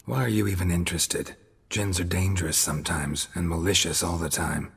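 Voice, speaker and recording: An actor playing a weary, sardonic monster hunter in a video game, low and gravelly, speaking in a dry, deadpan, laconic way. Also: male voice, fairly quick, full character voice. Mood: skeptical.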